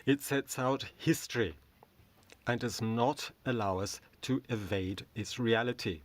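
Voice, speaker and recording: A man working as a simultaneous interpreter, rendering a debate speech in a steady, measured way in short phrases, into a booth microphone. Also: mid-range voice.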